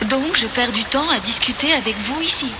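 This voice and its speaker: An actress playing a confident, dryly teasing adventuress in a video game dub, speaking in a wry, self-assured, clipped way. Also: female voice, a little high, animated, a little fast, background noise, lightly acted. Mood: sharp, in control.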